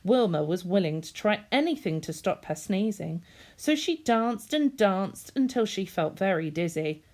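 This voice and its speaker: A woman's voice: mid-range voice, lively intonation, normal speed, darkish tone. A woman reading a children's story expressively, in the manner of a teacher speaking to young listeners.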